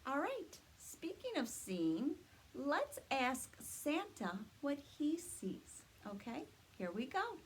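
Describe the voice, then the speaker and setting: A woman's voice: sits high, huge pitch swings, slow, slight background noise, low-quality recording. A woman leading a read-aloud story time for young children, sing-song and encouraging, explaining things simply.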